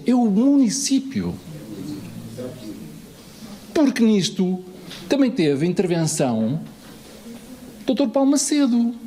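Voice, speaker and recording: A man speaks to a municipal assembly in a high, lively voice. He swings his pitch widely, piling up rhetorical questions and repeated phrases with emphasis. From Lisbon, Portugal. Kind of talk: political speech in an assembly.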